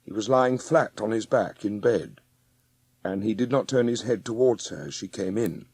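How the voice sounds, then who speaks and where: A man reading scripted storybook narration as the narrator of a dramatised audiobook, with a husky voice. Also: on the low side, normal speed, darkish tone, no echo.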